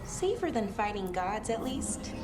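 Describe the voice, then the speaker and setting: An actress voicing an earnest, gentle young female artifact expert in a video game, speaking conversationally with slight hesitancy. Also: mid-range voice, very animated, unhurried, music in the background. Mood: focused, earnest.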